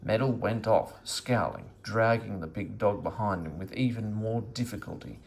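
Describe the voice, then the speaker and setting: A man reading a children's story aloud as an expressive narrator. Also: on the low side, normal speed, vocal fry. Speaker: storybook reader.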